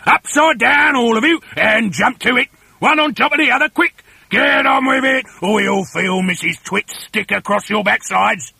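A man voicing a nasty, excitable bully of a storybook villain, barking threats and orders. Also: very high-pitched, normal speed, creaky, few pauses, husky, dry recording, pitched above the performer's own voice, heavily acted. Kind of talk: storybook character voice.

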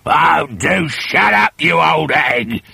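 A grumpy, nasty old husband speaks gruffly and with scorn, as a man puts him on. The voice is a little breathy and dry, with no room sound. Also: high-pitched, wildly swooping pitch, a little slow, fairly bright tone, dry recording, voice pushed up, full character voice. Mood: scornful.

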